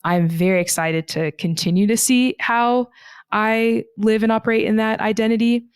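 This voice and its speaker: A woman guest on a podcast, telling personal travel stories in an earnest, reflective way and slowing down on the heavy points. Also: on the high side, steady tone, clean tone, no echo, clean studio sound. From United States (Texas).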